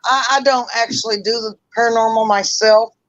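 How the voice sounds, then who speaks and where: A woman chatting casually on a livestream call, talking loudly and rambling. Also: fairly high pitch, fairly even intonation, a little fast, no echo, muffled recording. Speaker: livestream guest.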